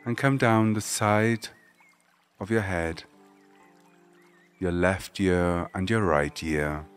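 A man leading a guided meditation, giving gentle, measured spoken instructions. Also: low voice, soft background music.

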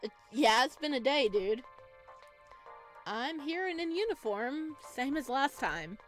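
A woman streaming a visual novel, reading aloud and reacting in a playful, dramatic, expressive way. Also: sits high, a little slow. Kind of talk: let's play, reading game narration aloud with reactions. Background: game music.

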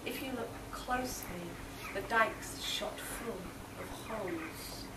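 A middle-aged woman gives a live poetry reading at a slow pace, phrase by phrase. Her voice sits at some distance from the microphone. The recording is heavily noisy. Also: medium pitch, roomy sound. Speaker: poetry reader. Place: marquee with a small seated audience.

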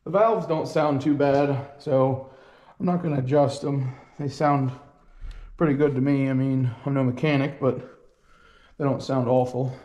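A man's voice: mid-range voice, steady tone, measured pace, dark tone, muffled recording. Plain-spoken folksy farm guy. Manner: plain-spoken, folksy, thinking aloud with fillers. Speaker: farm vlogger. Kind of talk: casual vlog narration. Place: small farm, barn and workshop.

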